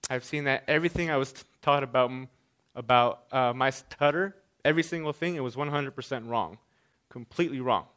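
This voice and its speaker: A man's voice: medium pitch, a little fast, fairly bright tone. A man who stutters gives a personal talk to an audience, often repeating sounds and restarting his words. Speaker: speaker giving a stage talk.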